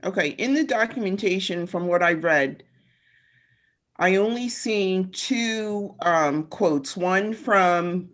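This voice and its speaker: A woman city councilor on a video call who asks questions slowly and carefully, pausing often. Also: mid-range voice, a bit bright. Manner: slow and careful, with pauses and fillers. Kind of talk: meeting discussion.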